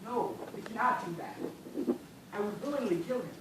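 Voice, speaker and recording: A man acting a weakened, bitter, impatient gentleman castaway dying of thirst in an amateur stage play, with formal theatrical delivery. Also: sits high, normal speed, close to an everyday voice. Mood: suspicious, weary. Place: indoor theatre stage, recorded from the audience distance. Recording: very echoey noisy hall, far away.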